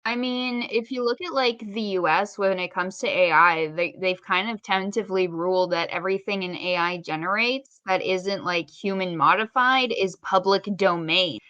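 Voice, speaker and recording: A woman's voice: medium pitch, normal speed, few pauses, pushed and bright, no room sound, highs cut off. A woman co-hosting a casual podcast discussion, questioning and thinking aloud in a tentative way. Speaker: podcast co-host.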